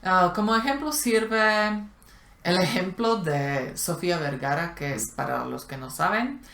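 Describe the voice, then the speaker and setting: Woman telling a story kinda slowly, lowish voice, echoey room. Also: animated, vocal fry, fairly bright tone. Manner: storytelling, pauses and restarts. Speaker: co-host of a language channel. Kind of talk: casual conversation. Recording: roomy echo.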